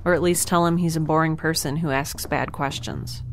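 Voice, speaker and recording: A woman's voice: low-pitched, steady tone, moderate pace, slight background noise. A woman playing a blunt, naive young scientist with a sarcastic, matter-of-fact manner in a naturalistic audio drama. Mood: curious, blunt.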